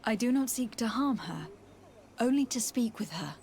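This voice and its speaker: A woman's voice: on the high side, expressive, normal speed, a little mellow, a little hiss or hum. A woman playing a courteous, earnest diplomat in a video game, with formal phrasing. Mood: polite. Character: courteous female diplomat adventurer, earnest and persistent. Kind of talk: video game dialogue acting.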